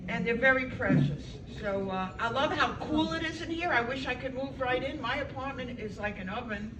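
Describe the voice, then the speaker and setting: A woman's voice: high voice, normal speed. A woman, doing a chatty, self-deprecating stand-up set and bantering with the audience. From Brooklyn, New York. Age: 70s. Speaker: stand-up comedian. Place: senior center hall. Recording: echoey hall, from far off, loud crowd. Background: audience reactions and room noise.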